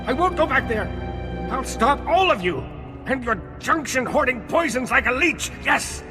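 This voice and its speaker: A woman's voice: high-pitched, animated, moderate pace, music in the background. A woman voicing a crazed, frantic game character who raves furiously in exclamations. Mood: frantic fury.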